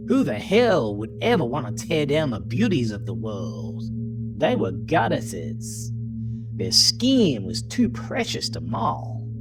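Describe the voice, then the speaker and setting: A man narrating a horror story in a theatrical, deliberate, brooding way, as scripted audio drama. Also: medium pitch.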